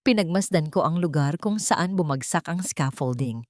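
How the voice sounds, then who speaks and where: A woman's voice: deep voice, expressive, normal speed, a little mellow, no room sound, studio quality. A woman reading a scripted first-person drama as an audiobook narrator.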